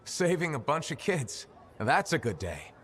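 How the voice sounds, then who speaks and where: A man playing a wry, gruff, determined freelance soldier in a video game, with a dry, laconic delivery. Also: on the high side, sing-song, a little slow, fairly bright tone, slight background noise. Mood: thoughtful, subdued. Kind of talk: naturalistic video game acting.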